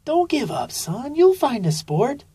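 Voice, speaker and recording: A woman's voice: high-pitched, big pitch swings, measured pace, dark tone, slightly rough, no room sound, voice pushed up, heavily acted. A woman voicing an encouraging, practical mother sloth in a children's storybook, with a lilting, coaxing manner.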